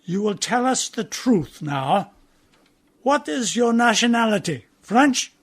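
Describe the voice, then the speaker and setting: An actor playing a stern, pompous naval officer in a radio play, questioning in a formal, commanding way. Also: male voice, very high voice, very animated, slow pace, exaggerated voice.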